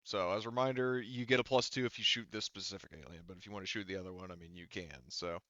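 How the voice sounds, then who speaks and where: A man's voice: sits low, steady tone, quick pace, sharp tone, dry recording. A man casually explaining rules and narrating as the game master of a tabletop roleplaying game. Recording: dull lo-fi microphone.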